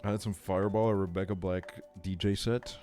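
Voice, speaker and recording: A man with a fairly low-pitched voice chats casually as he livestreams a game. His talk is slangy and full of swearing. Soft music plays behind him. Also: flat delivery, a little fast. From Estonia. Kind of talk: casual chat while streaming a video game.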